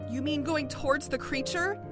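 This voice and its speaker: A frightened young woman acted by a woman pleads in an extremely high, breathless voice. She is tender and panicky, in a horror audio drama with loud music underneath. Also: moderate pace, natural voice. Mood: anxious.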